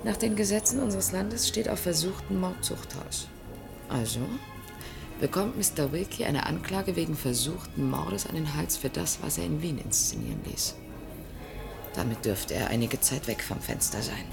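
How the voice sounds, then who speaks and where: An actress in a German radio play voicing a bossy, determined young woman conspirator, brisk and commanding. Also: low voice, very animated, creaky, exaggerated voice. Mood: conspiratorial, determined. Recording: studio radio play with prominent music. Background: music.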